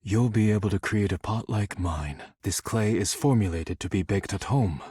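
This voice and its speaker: A proud, earnest artisan from a video game. His voice is lowish and strongly husky, with formal, carefully precise phrasing and a touch of haughtiness. The sound is dry, with no room around it. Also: moderate pace, a little mellow, no echo. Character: proud, formal, devoted male potter, an animate dishware character. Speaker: voice actor. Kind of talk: video game character dialogue.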